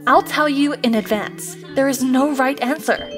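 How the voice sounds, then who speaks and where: A woman's voice: sits high, normal speed, close to an everyday voice. A woman playing a schoolteacher in a manga dub, putting probing questions to a class in an instructive way. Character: animated female schoolteacher posing questions to children. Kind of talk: scripted manga dub acting. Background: background music.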